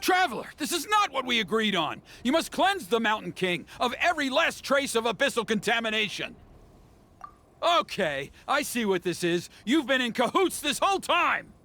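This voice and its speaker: Gravelly, fanatical elder. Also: male voice, very high-pitched, big pitch swings, moderate pace, sharp tone, full character voice. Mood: indignant. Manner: theatrical, grandiose. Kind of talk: dramatic video game voice acting.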